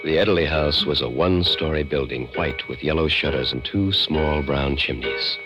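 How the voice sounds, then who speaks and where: A man acting a grave, haunted narrator in old radio suspense style, deliberate and ominous. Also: very deep voice. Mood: measured. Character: grave, haunted man narrating his own eerie experience. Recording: muffled vintage radio recording with music.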